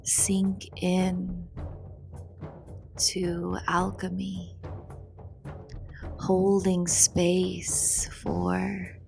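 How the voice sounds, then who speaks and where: A woman leading a guided meditation in a soothing, deliberate, breathy voice, pausing between short phrases. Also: lowish voice, monotone, mellow. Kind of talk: guided meditation narration, slow and breathy with whispered phrase endings. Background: meditation music.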